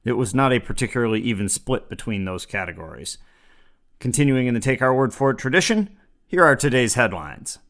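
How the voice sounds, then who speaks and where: A man's voice: medium pitch, lively intonation, a little fast, a bit bright. A man hosting a comedy show, reading scripted parody news in a dry, mock-serious newscaster manner. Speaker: comedy podcast host.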